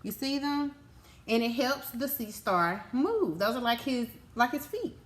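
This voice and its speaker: Lady teacher doing a kids book read aloud, really animated up and down voice, simple words, asks lots of little questions, bit of background noise. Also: fairly high pitch, a little slow. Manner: instructive, simple child-directed phrasing with frequent check-in questions. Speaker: teacher reading a picture book to young children.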